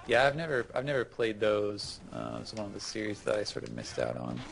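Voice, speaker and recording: A man's voice: fairly low pitch, wildly swooping pitch, fairly quick, vocal fry, fairly bright tone, faint music behind. A man in casual gaming chat, explaining things as a co-host in a hesitant way, circling back over his phrases. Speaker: gaming co-host giving tips.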